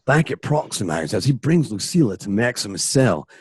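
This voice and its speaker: A man's voice: on the low side, sing-song, normal speed, slightly rough, dry recording. A man narrating an amateur radio drama, reading out scene changes and stage directions.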